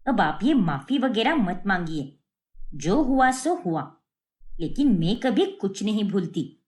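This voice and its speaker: A woman's voice: medium pitch, sing-song, takes it slowly, darkish tone. A woman voicing a haughty, bitter older mother-in-law with a sarcastic, taunting way of talking in a cartoon story. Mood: cold, dismissive. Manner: taunting, indignant.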